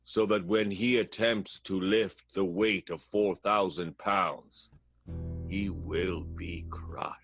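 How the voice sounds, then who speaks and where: A man voicing a ruthless, scheming male theater boss who speaks calmly. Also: sits low, takes it slowly, soft background music. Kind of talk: improvised radio play.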